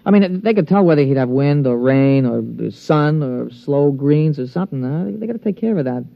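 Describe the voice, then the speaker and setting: An actor playing a loyal, folksy golf caddy who also narrates, in a 1950s radio drama with a plain-spoken manner. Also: male voice, fairly high pitch, lively intonation, normal speed, vocal fry. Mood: eager. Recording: dull old radio broadcast.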